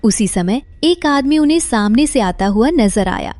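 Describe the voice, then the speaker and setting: High-voiced woman narrating a story. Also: expressive, moderate pace, darkish tone, no room sound.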